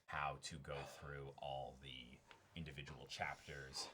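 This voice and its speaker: A man's voice: very deep voice, little pitch movement, a little slow, noisy background. A man co-hosting a stream in casual chat, speaking agreeably and often repeating short affirmations.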